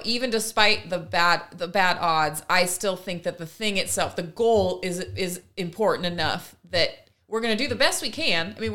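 A woman's voice: on the low side, sharp tone. A woman co-hosting a casual discussion, earnest and emphatic, building her argument in long runs. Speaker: podcast co-host.

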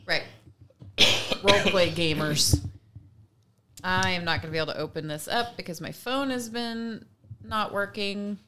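A woman's voice: medium pitch, unhurried, long pauses, sharp tone. Woman in casual conversational commentary. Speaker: podcast co-host.

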